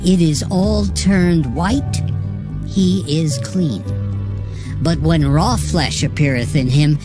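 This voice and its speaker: A woman giving a measured, formal, dramatized reading of King James scripture. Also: low-pitched, music in the background.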